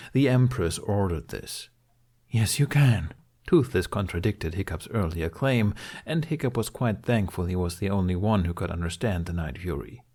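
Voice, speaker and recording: A man's voice: on the low side, darkish tone. A man narrating scripted fiction as an audiobook reader.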